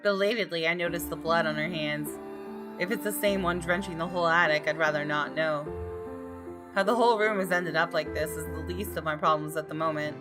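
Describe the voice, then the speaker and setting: A woman's voice: deep voice, fairly even intonation, fairly quick. A woman gaming host reads a visual novel aloud with suspenseful expression and slips into casual commentary. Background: game music.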